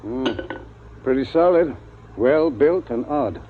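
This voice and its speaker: Measured ship's doctor, tinny old radio. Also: male voice, mid-range voice, lively intonation. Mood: calm, pondering. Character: calm, philosophical male ship's doctor on a space crew. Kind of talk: 1950s radio drama acting. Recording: tinny, noisy vintage radio recording.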